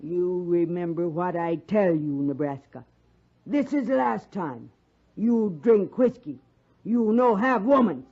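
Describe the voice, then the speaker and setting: An actress playing a stern, no-nonsense frontier wife who scolds and bosses in clipped broken English, in 1950s radio Western style. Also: female voice, on the low side, animated, slow, full character voice. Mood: stern, warning. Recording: muffled 1950s radio recording.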